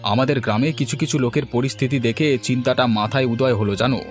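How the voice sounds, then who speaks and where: A man playing a brooding, discontented village farmer husband in a cartoon, with a husky voice. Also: mid-range voice, fairly even intonation, fast, soft background music, close to an everyday voice. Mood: pondering. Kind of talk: cartoon dialogue acting.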